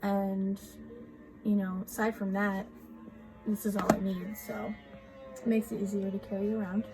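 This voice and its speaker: A woman vlogger, slightly scratchy from a cold, casually walking through her journal with soft ums and small laughs. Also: medium pitch, little pitch movement, unhurried, music in the background. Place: home room with outside noise.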